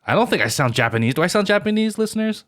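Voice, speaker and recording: A man's voice: high-pitched, very animated, racing, fairly bright tone. A man co-hosting a podcast, joking casually and laughing often. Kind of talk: casual conversation.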